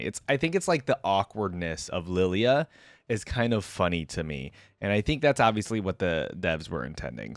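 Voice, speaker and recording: A man with a mid-pitched voice gives casual reaction commentary. He talks in a rambling, conversational way, recorded close and very dry. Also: dry recording. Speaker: reaction video host.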